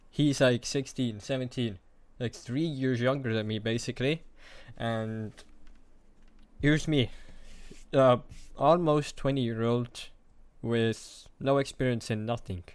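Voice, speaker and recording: Hesitant young man, smooth voice. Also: mid-range voice, dry recording. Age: young adult. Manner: hesitant, halting, self-deprecating. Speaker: vlogger. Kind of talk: confessional monologue to camera.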